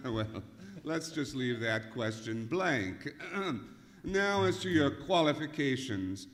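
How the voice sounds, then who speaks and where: Guy doing fussy old-radio store boss, slow n hesitant, voice goes up and down, echoey hall. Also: medium pitch, animated, a bit bright. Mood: polite, flustered. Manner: hesitant, throat-clearing, patronizing politeness. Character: fussy, flustered, polite male department store manager. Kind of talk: old-time radio comedy acting. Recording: echoey hall, live stage performance.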